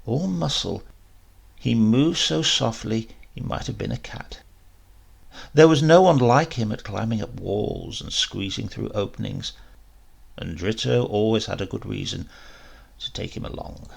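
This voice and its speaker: A man narrating a short story in a measured, expressive way, with deliberate pauses for drama. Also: on the low side, gravelly, breathy edge. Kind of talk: dramatic scripted literary narration.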